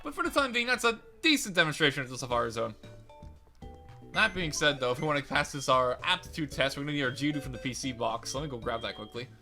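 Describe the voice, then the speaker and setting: Fast-talking, bright-voiced young man gaming. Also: medium pitch, lively intonation, vocal fry, faint music behind. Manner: rambling, chatty, self-correcting. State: slightly raspy, crackly voice. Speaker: let's play host. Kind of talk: casual game commentary.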